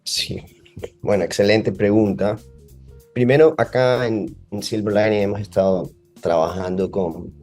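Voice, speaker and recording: A man with a low, dark, mellow voice and an Ecuadorian Spanish accent. He explains things at length and fills the gaps with many hesitations. Faint music plays behind him. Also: fairly even intonation, unhurried. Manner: explanatory, uses many fillers. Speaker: guest. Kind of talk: podcast interview.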